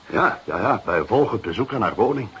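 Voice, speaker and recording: A shrewd police inspector in a radio detective play, with a lowish, very mobile voice. He is unruffled and sceptical, probing with measured insistence. A clear hiss sits behind him. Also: lively intonation, a bit bright, highs cut off. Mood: calm, thoughtful. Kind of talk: Dutch detective radio drama acting.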